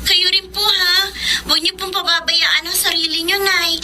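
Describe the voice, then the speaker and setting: A woman's voice: very high-pitched, little pitch movement, normal speed, background noise. A woman acting a dutiful, caring young daughter in a radio drama, polite and respectful. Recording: prominent music over the voice.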